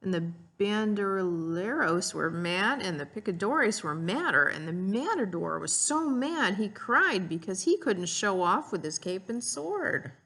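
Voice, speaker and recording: A woman's voice: mid-range voice, lively intonation, unhurried, hardly any pauses, fairly bright tone. A woman reading a storybook aloud and teaching children, explaining simply and putting questions to her young listeners.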